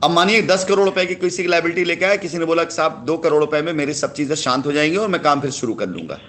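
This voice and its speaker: A man's voice: a little high, fast, fairly bright tone, slight background noise. A man talks in a persuasive phone conversation. He sounds like a company boss justifying himself, pressing each point and often repeating phrases. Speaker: company head answering a customer.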